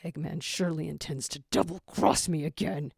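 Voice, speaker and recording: A frail young jackal played by a woman from a dramatic fan story, worn out and bitter. The voice is low for a woman, with a creaky edge, and carries a gloomy defiance. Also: steady tone, normal speed, a little mellow, no room sound, studio quality, full character voice. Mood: bitter, despairing.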